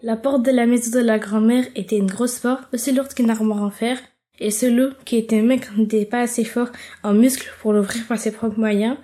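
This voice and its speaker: A woman calmly narrating a fairy tale aloud, doing all the voices. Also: fairly high pitch. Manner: calm, deliberate narration. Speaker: storyteller.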